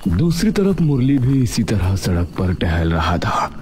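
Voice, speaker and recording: A man narrating a scripted animated story in a dramatic storytelling manner. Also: fairly low pitch, a little slow.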